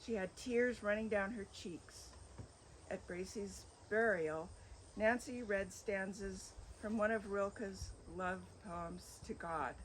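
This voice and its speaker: A woman reads a remembrance at an outdoor memorial in a measured, gentle voice of ordinary pitch. Crickets and insects chirp faintly behind her in the open air. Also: slight background noise, rough recording. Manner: measured, gentle reading with light laughter. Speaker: memorial speaker. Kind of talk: reading a remembrance and a poem aloud. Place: outdoors at a home on an island.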